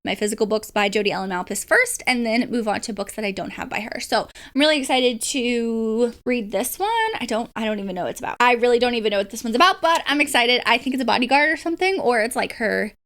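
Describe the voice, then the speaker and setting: A woman's voice: fairly high pitch, quick pace, hardly any pauses, a bit bright. A woman chatting casually about books in an informal, enthusiastic way, like a book vlogger. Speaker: book vlogger and readalong host.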